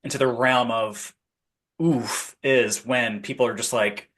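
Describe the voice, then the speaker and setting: A man hosting a relaxed podcast about spirituality. He sounds eager, laughs easily and keeps affirming his guest. His voice sits at an ordinary middle pitch. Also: unhurried. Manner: enthusiastic, laughs often, repeats affirmations. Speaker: podcast host. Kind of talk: casual spiritual interview chat.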